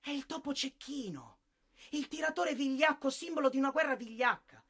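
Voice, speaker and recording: An actor playing a bitter, disillusioned young soldier killed in war, in a husky, theatrical monologue. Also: male voice, extremely high pitch, moderate pace, sharp tone, heavily acted. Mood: impassioned. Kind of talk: dramatic stage monologue, radio drama.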